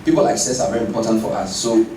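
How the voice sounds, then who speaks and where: A man speaking in an animated, emphatic way in a conversational interview. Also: fairly low pitch, racing, slight background noise. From Ghana. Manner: animated, emphatic, laughs while telling stories. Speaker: guest speaker. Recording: roomy, distant mic with background ambience.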